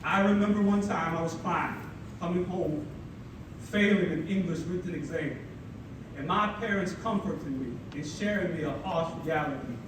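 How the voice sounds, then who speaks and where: Energetic high-voiced young man in echoey hall. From Philippines. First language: Tagalog. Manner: energetic, playful storytelling with dramatic pauses. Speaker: keynote speaker. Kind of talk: ceremonial keynote speech. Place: large hall at a ceremony. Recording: echoey hall, mic far off, audible room noise.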